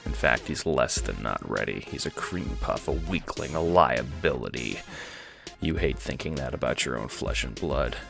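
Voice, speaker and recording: A man's voice: rumbling low, animated, normal speed, vocal fry, music in the background. A man narrating a scripted second-person audiobook drama.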